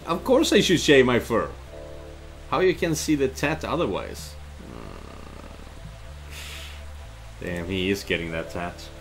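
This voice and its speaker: A middle-aged man streams a game in a casual, expressive way. His pitch moves a great deal as he comments and reads text aloud. Music and rain sit behind him. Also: slow, creaky, pauses often. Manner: expressive, exclaiming. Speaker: streamer playing a visual novel. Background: game music and rain sounds.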